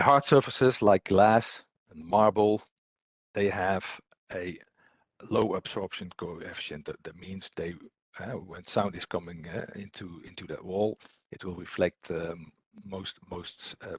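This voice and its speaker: A man giving an explanatory technical presentation as a trainer, unscripted, in a halting, hesitant way with repeated words. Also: low-pitched, steady tone, husky, no room sound.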